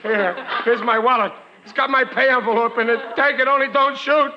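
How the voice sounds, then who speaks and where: A man's voice: very high-pitched, steady tone, brisk. An actor playing a blustering, boastful working-class family man in 1940s radio comedy, stammering when flustered. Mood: panicked. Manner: bragging, ungrammatical, comically exasperated. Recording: dull, noisy old radio recording.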